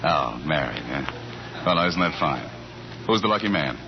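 An actor playing a roguish, smooth-talking newspaperman in 1940s radio comedy style, suave and glib. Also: rumbling low, lively intonation, normal speed. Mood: flirty, glib. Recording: muffled, faintly noisy 1940s radio recording.

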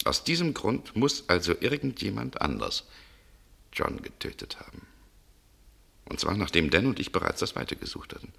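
A male actor playing a shrewd, cool man in a German radio mystery, speaking in a gravelly, deliberate, insinuating way. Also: fairly low pitch, big pitch swings, sharp tone, heavily acted. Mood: accusing, controlled. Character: shrewd, cool man coolly interrogating a murder suspect.

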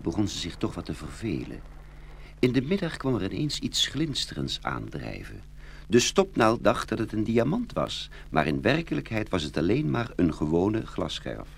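Male narrator, fairy-tale storytelling, very animated pitch swings, creaky edge, slight background hum. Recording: hum and steady noise.

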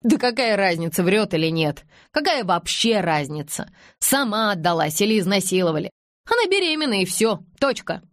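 A woman's voice: mid-range voice, sing-song, normal speed, no room sound, studio quality. Woman acting stern, sardonic, clipped village matriarch. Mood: stern, exasperated. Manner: sober, clipped, pointed questions.

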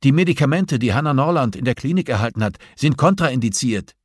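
Man acting out an urgent, commanding male doctor, husky. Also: mid-range voice, lively intonation, brisk, no room sound, studio quality, voice pushed up. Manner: clipped, commanding, interrogating. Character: forceful male hospital doctor, urgent and impatient. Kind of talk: audiobook dialogue acting.

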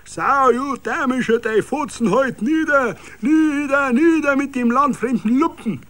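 A man voicing hoarse, jeering drunken Bavarian village revelers in a radio story, bawling and slurring. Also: very high-pitched, a little slow, voice pushed up. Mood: angry bawling. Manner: bawling, slurred festive shouting.

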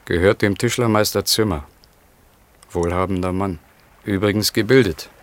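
A man acting a sober chronicler in a radio drama. His voice is deep, and he reports with detachment. The phrases come measured and clipped, like careful observations. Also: steady tone, lightly acted. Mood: matter-of-fact. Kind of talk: German radio play narration.

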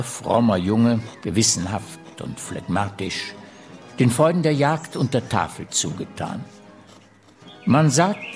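An actor playing a grave, courtly French finance minister, reading his letter aloud in a measured, articulate radio drama monologue. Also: medium pitch. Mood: confiding, grave. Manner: measured, deliberate, articulate delivery. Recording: studio radio drama with music. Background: music.

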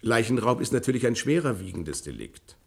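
An actor playing a formal, fair-minded court president in a radio play, with a husky, creaky voice and a measured, official manner. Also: male voice, mid-range voice, sing-song, heavily acted. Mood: earnest, appeasing. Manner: measured, official, hesitant when pressed. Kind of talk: German radio play acting.

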